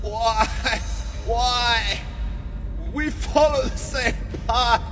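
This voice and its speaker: A man playing a maimed, devout cultist in an audio drama, pleading weakly in halting, pained gasps. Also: very high voice, fairly bright tone, soft background music, voice pushed up, full character voice. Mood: desperate pleading. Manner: halting, gasping, desperate. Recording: long cavernous reverb.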